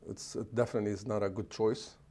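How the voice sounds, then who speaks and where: A middle-aged man, an executive chef answering interview questions in a halting way, often restarting as he searches for words. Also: fairly low pitch, fairly even intonation, warm tone. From Lebanon.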